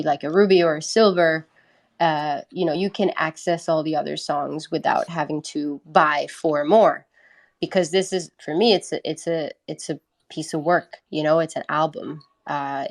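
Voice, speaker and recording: A woman from Italy, a musician, talking casually as an interview guest. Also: fairly low pitch.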